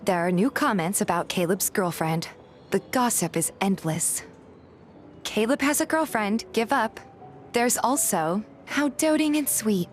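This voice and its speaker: A woman's voice: mid-range voice, animated, measured pace, a little hiss or hum. A woman reading a scripted first-person story as narrator and voice actor in a fan dub.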